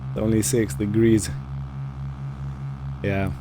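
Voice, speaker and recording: A man hosting a roleplay gaming series, narrating his play in character in a casual, improvised way with halting short phrases. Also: fairly low pitch, little pitch movement, noisy background.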